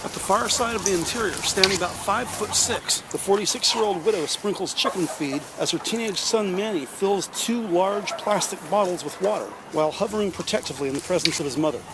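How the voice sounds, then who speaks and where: A man's voice: sits high, a little fast, hardly any pauses, very noisy. A man reading scripted descriptive narration for an audio drama. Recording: music under the voice.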